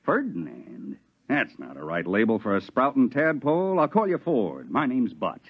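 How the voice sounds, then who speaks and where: Brash Venusian stowaway, folksy drawl, very lively intonation, vintage radio drama, muffled lo-fi sound. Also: male voice, medium pitch, moderate pace, slightly rough, heavily acted. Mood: friendly. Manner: folksy drawl, cocky, plainspoken. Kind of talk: 1950s radio drama acting. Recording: dull lo-fi 1950s radio recording.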